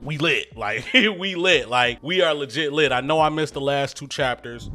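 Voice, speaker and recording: Bright-voiced young man, slangy and hyped. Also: mid-range voice, moderate pace, faint music behind. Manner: excitable, slangy, laughs often, acts out character lines. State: getting over a cold, a bit stuffy. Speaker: anime review YouTuber. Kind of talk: casual manga review monologue.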